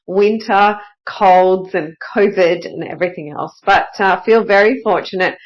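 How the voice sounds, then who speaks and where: Croaky woman hosting a podcast. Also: medium pitch, a little slow, highs cut off. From Australia. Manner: upbeat and enthusiastic, with many "uh" fillers. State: croaky from a cold. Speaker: podcast host. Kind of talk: solo podcast monologue.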